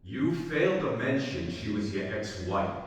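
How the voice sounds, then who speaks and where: An accusatory police interrogator, acted by a man in an audio drama. His fairly low voice presses pointedly, distant and swimming in heavy echo. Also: big pitch swings, unhurried. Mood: accusing. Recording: distant, heavily echoing hall.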